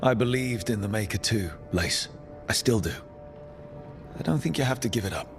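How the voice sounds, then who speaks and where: An actor playing a steady, reassuring fantasy party leader in a video game, speaking in a measured, earnest way. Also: male voice, lowish voice, soft background music.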